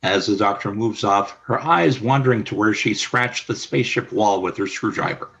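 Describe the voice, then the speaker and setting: Bright, lower-voiced male narrator. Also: moderate pace, gravelly. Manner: even, descriptive reading. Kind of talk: reading stage directions for a radio play.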